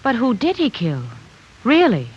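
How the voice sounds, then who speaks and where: A woman's voice: a little high, wildly swooping pitch, measured pace, a little hiss or hum. An actress playing an anxious young woman who presses a doctor with urgent questions, in 1940s radio drama style. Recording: muffled 1940s radio broadcast.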